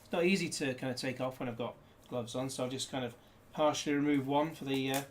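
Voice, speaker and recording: A man's voice: mid-range voice, steady tone, fast talker, a little hiss or hum. A man chatting casually through a disc unboxing, rambling with jokey asides, recorded indoors on a desk camera. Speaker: disc reviewer.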